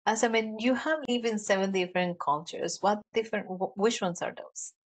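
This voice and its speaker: A woman's voice: mid-range voice, fairly quick. A woman hosting a podcast interview, reading an upbeat introduction and then asking questions casually. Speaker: podcast host. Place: home office with bookshelves. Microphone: podcast mic on video call.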